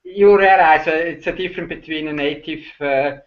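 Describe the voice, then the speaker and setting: A man's voice: a little high, lo-fi sound. A middle-aged man from Switzerland, a Swiss German native speaker with a Swiss German accent, talking hesitantly on a video call as a guest. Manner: hesitant, halting, many uh fillers. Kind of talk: casual video call chat.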